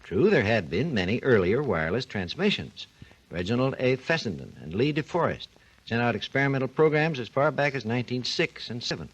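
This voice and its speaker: A man, a research engineer and guest, explains his subject in a measured, lecturing way on a scripted 1940s radio talk program. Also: mid-range voice, sing-song, breathy edge, low-quality recording.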